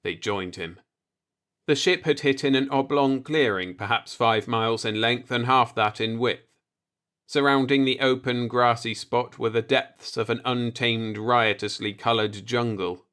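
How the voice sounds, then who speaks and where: A man's voice: mid-range voice, steady tone, unhurried, fairly bright tone, clean tone. A man reading a scripted science fiction story aloud as an audiobook narrator.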